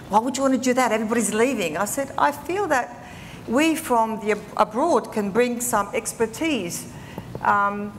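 A woman's voice: medium pitch, a little hiss or hum. Chatty older woman on a hall stage. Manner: talkative, warm, many ums. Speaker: panelist. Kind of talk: panel talk. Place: conference stage in a large hall. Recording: reverberant hall recording.

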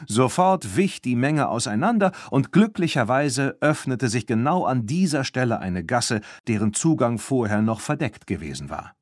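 A man narrates a children's audiobook in an expressive storytelling style. His mid-pitched voice moves up and down a lot. The sound is very dry. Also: normal speed, hardly any pauses, no room sound.

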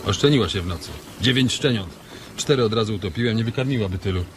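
A man playing an easygoing, self-assured ranch boss in a radio drama, calm and matter-of-fact. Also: fairly low pitch, quick pace, lightly acted. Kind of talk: Polish radio drama acting. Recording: noisy, birdsong ambience behind.

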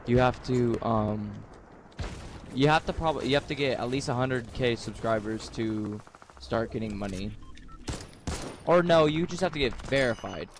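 A man's voice: medium pitch, unhurried, a little hiss or hum. Casual male teammate on game voice chat. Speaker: online teammate, gamer.

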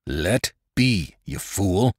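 A man's voice: deep voice, sing-song, drawn-out words, husky, dry recording, clean studio sound, exaggerated voice. A man as a curt, commanding ship's officer. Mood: sharp warning. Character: blunt, proud Virginian ship's officer giving orders. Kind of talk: audiobook character voice in a sea adventure.

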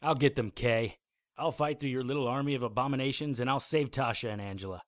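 An actor playing a cranky, defiant private investigator in a radio drama, making threats. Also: male voice, medium pitch, fast, no room sound, clean studio sound, natural voice. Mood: threatening.

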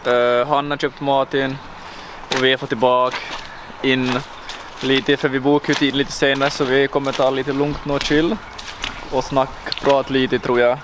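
A man's voice: mid-range voice, little pitch movement, noisy background. A young man, chatting casually and rambling in a vlog, filmed on a handheld camera. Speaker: vlogger.

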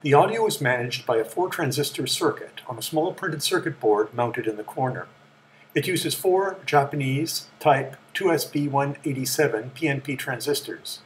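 A middle-aged man giving a measured, matter-of-fact scripted explanation as the presenter of a technical tour. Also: mid-range voice, lively intonation, slight background noise, poor audio. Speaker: presenter of a vintage electronics tour.

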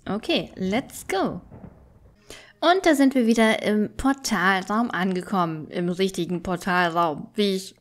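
A woman's voice: mid-range voice, a little slow. A woman giving casual let's play commentary as she plays a game. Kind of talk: casual game commentary, reading game dialogue aloud.

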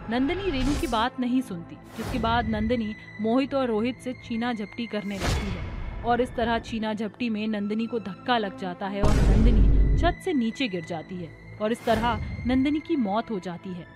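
A woman's voice: a little high, moderate pace, music in the background. A woman reading scripted narration for a cartoon story as its storyteller.

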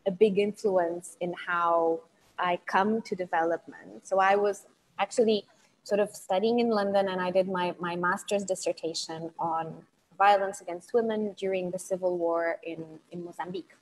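A woman's voice: lowish voice, fairly even intonation, normal speed, poor audio. A woman from Portugal, a guest speaker on an academic webinar, answering thoughtfully with frequent restarts over earphone mic on a video call. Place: home room with bookshelves. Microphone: wired earphones mic on video call.

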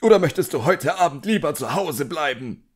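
A man voicing an irritable older male magician, loud, barking and exasperated in an audiobook. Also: fairly high pitch, lively intonation, moderate pace, slightly rough, voice pushed up. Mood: angry. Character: irritable, domineering older male magician scolding his apprentice.

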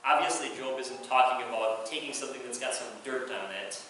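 A man's voice: medium pitch, fairly quick, noisy background. A young man preaching a sermon from a church pulpit, earnest and repetitive in his emphasis. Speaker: pastor. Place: large church sanctuary. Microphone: pulpit microphone, filmed from far below. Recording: very echoey church from far off.

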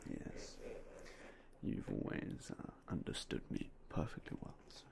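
A young man whispering in a polite, unhurried way as a game store clerk in an ASMR roleplay, close to a binaural mic. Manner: soft, polite, unhurried shopkeeper talk with fillers. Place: indoors in front of a game store backdrop. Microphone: binaural mic close to the face.

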